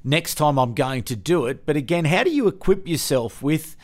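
Male host, fairly high pitch, restarts his questions. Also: animated. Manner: halting, restarts questions mid-sentence. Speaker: podcast host. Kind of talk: podcast interview questions.

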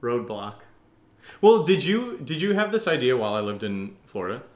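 A man's voice: medium pitch, big pitch swings, normal speed. A man co-hosting a podcast in loose, casual conversation. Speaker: podcast co-host and voice actor. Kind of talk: casual chat.

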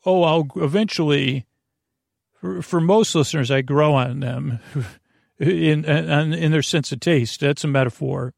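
A man with a creaky voice hosts a bedtime podcast, meandering haltingly through tangents with self-deprecating, absurd humour. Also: fairly high pitch, no room sound, clean studio sound. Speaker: podcast host. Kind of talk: rambling bedtime podcast monologue.

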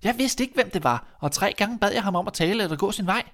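A man's voice: high-pitched, expressive, fairly bright tone, voice pushed up. A man voicing a naive, fearless young son in a fairy tale, plain-spoken and insistent. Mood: innocent, explaining. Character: dim-witted fearless youngest son, earnest and naive boy.

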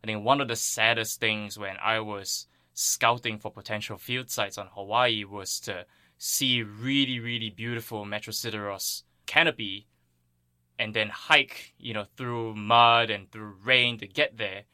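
Guy from singapore being interviewed as a scientist, bright forward voice, earnest, really dry sound. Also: on the low side, steady tone, a little slow, smooth, no room sound. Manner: earnest, thoughtful explaining. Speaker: interviewed plant ecologist. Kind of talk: explanatory interview answers.

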